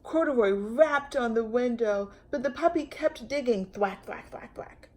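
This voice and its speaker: A woman reading a children's picture book aloud as a storytime host, with expressive narration, drawn-out words and playful sound effects. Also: fairly high pitch.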